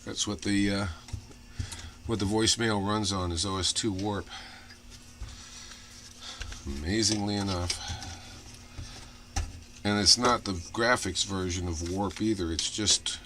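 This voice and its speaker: A man giving casual, off-the-cuff hands-on commentary in a halting way, as if muttering to himself while he inspects things. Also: lowish voice, fairly even intonation. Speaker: hobbyist vlogger showing old computer hardware. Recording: clear background noise with room ambience.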